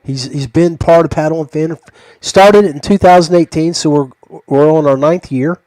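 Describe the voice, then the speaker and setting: A man with a fairly high voice hosts a relaxed podcast conversation. He talks in a folksy, enthusiastic way, and the sound is dry with little room to it. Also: normal speed, dry recording, rough recording. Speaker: podcast host. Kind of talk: casual podcast chat with a guest.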